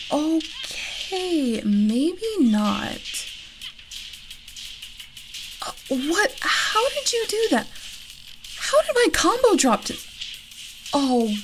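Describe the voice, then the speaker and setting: A woman acts out a playful, competitive girl best friend in an ASMR roleplay. Her voice sits a little high with a creaky edge, and she takes her time. She banters casually, teasing and giggly, as if hanging out over video games. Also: exaggerated voice, low-quality recording. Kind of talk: ASMR roleplay, casual one-sided conversation.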